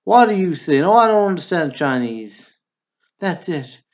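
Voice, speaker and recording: A man's voice: on the high side, big pitch swings, measured pace. A man playing a blunt, bickering small-town Southern brother in a radio drama. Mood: needling. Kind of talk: stage comedy dialogue, radio drama acting.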